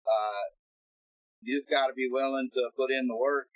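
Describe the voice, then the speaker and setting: A middle-aged retired military man, a guest, talking in a relaxed, rambling way with many fillers. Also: mid-range voice, normal speed, dry recording. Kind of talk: casual interview chat. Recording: dull lo-fi sound.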